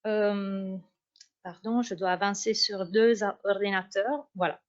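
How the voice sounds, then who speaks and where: A woman with as her language, giving a formal academic conference talk and often pausing to search for words. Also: mid-range voice, steady tone. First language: Italian. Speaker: university researcher. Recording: dull lo-fi sound.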